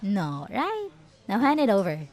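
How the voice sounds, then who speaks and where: A woman's voice: medium pitch, huge pitch swings, unhurried, a little mellow. A woman acting out a possessive, jealous schoolgirl bully in a roleplay audio drama, taunting and sarcastic with sugary false politeness. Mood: bossy, smug.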